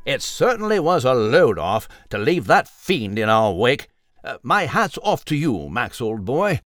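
A man playing a genteel, pompous, cheerful little gentleman mouse in a children's audio drama, with a British accent and flowery manners. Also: mid-range voice, very animated, unhurried, no echo, faint music behind. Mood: jolly, polite. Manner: flowery, old-fashioned politeness.

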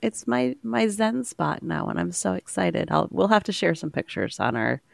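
Low gravelly woman with a stuffy, nasal voice. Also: sing-song, moderate pace, dry recording. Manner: storytelling, restarts often. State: nasal from a cold. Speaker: podcast co-host, author. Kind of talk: casual chat.

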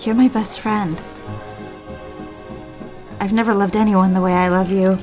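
A woman's voice: medium pitch, fairly even intonation, measured pace, a little mellow, lightly acted. Woman acting an earnest, romantic newlywed wife, music behind. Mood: tender. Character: romantic, probing young newlywed wife in love. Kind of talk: audio drama dialogue, period romance.

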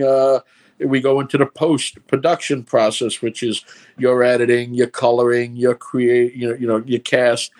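A man with a New York accent, chatting on a podcast. He lays out his filmmaking process in a rambling, step-by-step way, with a medium-pitched voice. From New York City. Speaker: filmmaker guest. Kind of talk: casual podcast chat.

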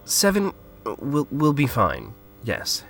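A man's voice: medium pitch, huge pitch swings, takes it slowly, fairly bright tone, soft background music, heavily acted. An actor playing an anxious, polite, awkward man in an audio drama, hesitant and stammering. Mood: awkward, unsure.

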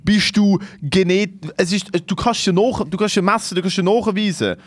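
A man's voice: sits high, moderate pace, no room sound, clean studio sound. A man with a Swiss German accent in casual, opinionated debate, swearing and dropping in English slang.

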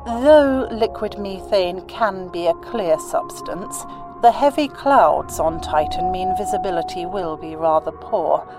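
An actress playing a composed, assured mission presenter, explaining things formally in a sci-fi audio drama. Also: female voice, lowish voice, unhurried, music in the background, close to an everyday voice. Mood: composed, confident.

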